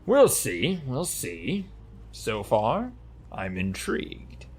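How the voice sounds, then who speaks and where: A man's voice: medium pitch, wildly swooping pitch, drawn-out words, slightly rough, heavily acted. A man voicing an impatient, self-important male god of rocks in a comic fantasy audiobook. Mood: curious, playful.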